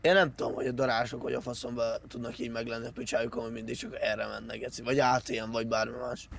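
Gravelly, sweary man in game chat. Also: medium pitch, steady tone, fairly bright tone. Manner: sweary, grumbling. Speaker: fellow roleplay player. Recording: clear car engine noise behind. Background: game car engines.

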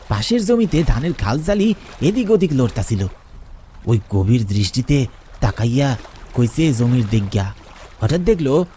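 A man's voice: on the high side, warm tone, slight background noise. A man playing a fearful, deferential village man in a horror cartoon, speaking a rural eastern Bengali dialect urgently.

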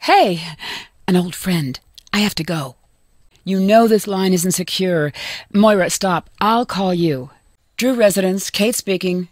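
A woman voicing a loving but secretive mother in a video game, gently firm and evasive. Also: fairly low pitch, animated, unhurried, dry recording, studio quality. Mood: tense, urgent. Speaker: voice actor.

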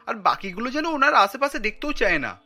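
A man's voice: very high-pitched, very animated, fairly quick, pushed and bright, soft background music, full character voice. A man voicing an earnest, principled grown-up son in a cartoon, speaking reproachfully. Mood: complaining. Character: principled adult son, earnest, protests his mother's snobbery.

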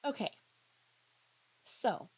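A woman giving critical reaction commentary in a pointed, halting, questioning manner. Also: darkish tone, low-quality recording. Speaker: commentary vlogger.

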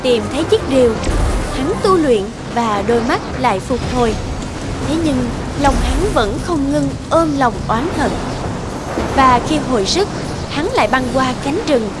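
A woman's voice: sits high, lively intonation, measured pace. A woman narrator reading a scripted fairy tale in a storytelling style. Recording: loud music behind the voice.

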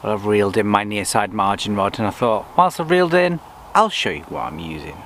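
A man's voice: on the low side, fairly quick. A man, chatting casually about his fishing outdoors on a lakeside bank. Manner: relaxed, chatty, talks himself through each step. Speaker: angler vlogger. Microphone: camera on the bank in front of him. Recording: faint outdoor noise.

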